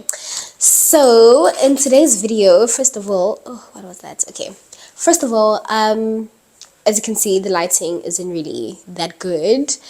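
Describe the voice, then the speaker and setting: A woman's voice: mid-range voice, a little mellow. A woman in her early twenties talking casually to camera in a vlog, chatty, with lots of "um" and "like". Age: early 20s. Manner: chatty, many fillers like "um" and "like", laughs lightly. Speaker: vlogger. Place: indoors at night, dim lighting.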